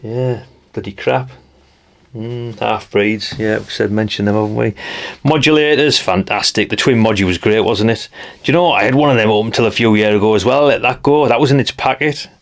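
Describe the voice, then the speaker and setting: Low, gravelly North East English man. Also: brisk. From North East England. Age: middle-aged. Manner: chatty, matter-of-fact, often ends remarks with tag questions. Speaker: vlogger. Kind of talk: casual rambling commentary.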